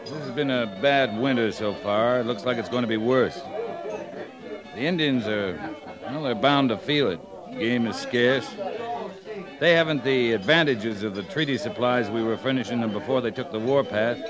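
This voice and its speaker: An actor in a 1950s western radio drama playing a steady, plain-spoken, humane cavalry captain. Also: male voice, mid-range voice, normal speed, over music, crowd noise, lightly acted. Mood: earnest, concerned.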